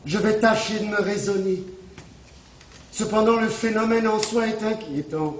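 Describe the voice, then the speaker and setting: A man's voice: high voice, normal speed, close to an everyday voice. An actor playing a fretful, anxious man who fears he will change, pleading and insistent in a stage play. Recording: echoey stage from far off, music.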